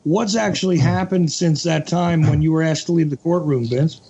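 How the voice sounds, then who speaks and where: A man hosting a podcast interview, asking steady, probing questions. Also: fairly high pitch, moderate pace, vocal fry, husky, dull audio.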